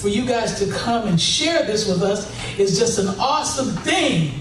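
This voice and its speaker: A woman's voice: mid-range voice, expressive, normal speed. Woman, American accent, audience member giving off-the-cuff remarks, noisy echoing room. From United States. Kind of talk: impromptu thanks from the floor. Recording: echoey room, distant mic, noisy.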